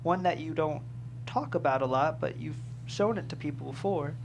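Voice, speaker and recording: A man's voice: a little high, normal speed, vocal fry, dull audio, slight background noise. A man playing a dry, modest trial consultant, candid and supportive, in a screenplay table read. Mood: candid, encouraging.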